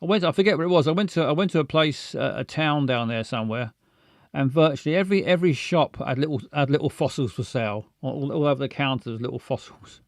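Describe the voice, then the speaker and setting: A man's voice: fairly high pitch, fast, no room sound. Brief: stammering man in chatty livestream, close and dry. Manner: stammering, rambling, self-mocking. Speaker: livestream host. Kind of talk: casual chat with viewers.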